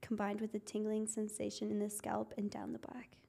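Gravelly-voiced chatty woman from California. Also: medium pitch, monotone, brisk, warm tone. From Northern California. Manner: chatty, storytelling with lots of fillers. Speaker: podcast co-host. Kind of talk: casual group chat.

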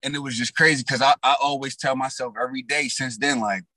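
A raspy young man telling his story in loose, slang-heavy casual talk as a rapper guest. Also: medium pitch, fairly even intonation, fast talker, pushed and bright, no echo.

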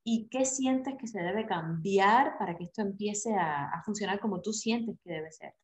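A woman's voice: medium pitch, a little slow. A woman hosting an interview, asking questions in a casual, admiring way.

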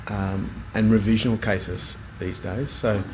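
A man's voice: fairly low pitch, fairly even intonation, unhurried, background noise. A middle-aged man with an Australian accent, chatting as an interview guest in an unassuming, hesitant way. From Brisbane, Australia. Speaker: guest, plastic surgeon. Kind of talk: casual interview chat.

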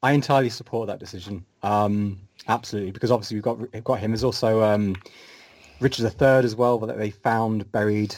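A man's voice: on the low side, steady tone, quick pace, darkish tone, no echo. A man from Leicester with a mixed English Midlands, Yorkshire and Scottish accent, chatting as a guest and often repeating his first words. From Leicester, England. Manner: repeats words at starts of sentences. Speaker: guest, podcast host. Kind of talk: casual chat.

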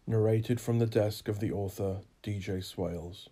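A man's voice: on the low side, steady tone, normal speed, over loud music. A man reading scripted literary fiction as a narrator.

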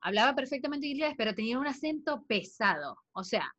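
Higher-voiced chatty woman, dull sound. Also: sing-song, fairly quick, a bit bright. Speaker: spin instructor. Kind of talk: casual chat.

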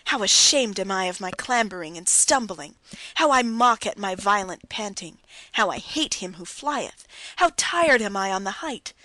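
A woman's voice: medium pitch, lively intonation, normal speed, sharp tone, dry recording, rough recording. A woman reading the part of a troubled, despairing young man in an audiobook, pleading and impassioned. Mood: anguished.

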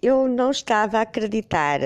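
Middle-aged portuguese woman, stuffy with a cold, rambly and amused, smooth voice, dry close sound. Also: a little high, expressive, fairly quick, no room sound. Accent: European Portuguese. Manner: rambling, self-correcting, amused. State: congested with a cold. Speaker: podcast host. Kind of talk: casual personal storytelling.

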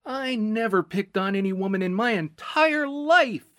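A woman's voice: mid-range voice, lively intonation, a little slow, a bit bright, dry recording, clean studio sound, pitched above the performer's own voice. A woman voicing a puzzled, easygoing young man who sounds bewildered and defensive in an audiobook. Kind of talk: audiobook character voice.

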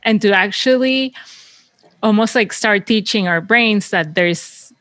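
A woman hosting an interview, warmly engaged and affirming, searching for words as she frames a question. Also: medium pitch, measured pace, no echo. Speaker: interviewer, host. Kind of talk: conversational interview.

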